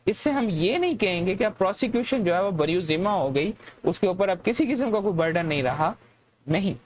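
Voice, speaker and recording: Woman, Pakistani accent, medium pitch, didactic lecture delivery, English terms mixed in, faintly noisy recording. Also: lively intonation, brisk, a little mellow. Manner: didactic, repeats points and poses questions then answers them. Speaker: law lecturer. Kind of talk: explanatory lecture mixing in English legal terms.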